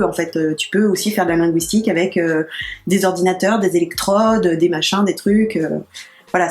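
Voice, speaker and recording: A woman's voice: on the low side, a little mellow, echoey room. Chatty young woman, faint music behind. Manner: chatty, many fillers and restarts. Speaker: interviewed YouTube channel creator. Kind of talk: casual interview chat.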